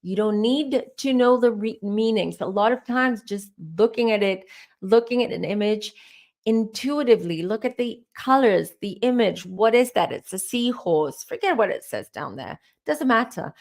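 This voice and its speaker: A woman hosting a live-stream tarot Q&A, her voice starting to go hoarse, who rambles as she gives advice straight to her viewers. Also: fairly high pitch, lively intonation, normal speed, darkish tone. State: voice going hoarse. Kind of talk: live-stream spiritual Q&A, answering chat questions.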